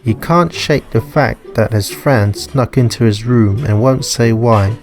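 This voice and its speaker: A man narrating a scripted story in a measured, formal reading style. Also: medium pitch, a little mellow, faint music behind. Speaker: narrator and author.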